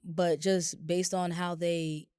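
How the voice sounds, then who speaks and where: Raspy woman with a cold, chatting. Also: fairly low pitch, slow, no room sound, studio quality. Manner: relaxed, rambling with many fillers. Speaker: podcast co-host. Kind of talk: casual chat.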